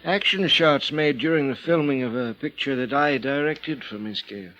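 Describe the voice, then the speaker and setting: A man's voice: mid-range voice, moderate pace, sharp tone, lightly acted. An actor playing an elderly former film director turned mission brother, hesitant and fumbling his words, in a vintage radio drama. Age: older. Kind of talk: 1950s radio drama acting. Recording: dull lo-fi vintage radio recording.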